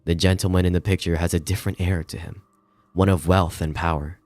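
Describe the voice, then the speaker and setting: A man reading a visual novel aloud as dramatic narration, with measured, somber phrasing. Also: low-pitched, flat delivery, a little mellow, faint music behind.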